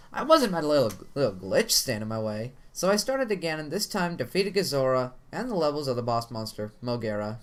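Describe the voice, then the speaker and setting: A man reads a horror tale aloud in a theatrical storytelling style. His mid-pitched voice rises and falls in lively, sweeping intonation, occasionally tripping on a word. Soft music plays behind him. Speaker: narrator of a horror story channel. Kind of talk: dramatic reading of a first-person creepypasta. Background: music under the voice.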